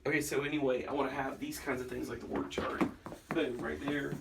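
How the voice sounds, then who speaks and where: A man's voice: mid-range voice, level tone, brisk, creaky, far from the mic. A man talking casually and colloquially as he explains his plans on a family vlog. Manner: folksy, informal, colloquial. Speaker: pastor, family vlogger. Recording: noisy handheld vlog recording.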